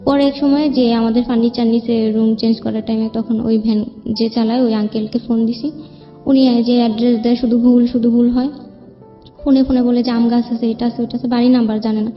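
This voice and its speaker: A woman's voice: on the high side, level tone, a little fast. A young woman with a Bangladeshi accent giving a plaintive, rambling account of her own life as a guest. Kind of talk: personal spoken account. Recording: long reverb, dull muffled sound, music underneath. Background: background music.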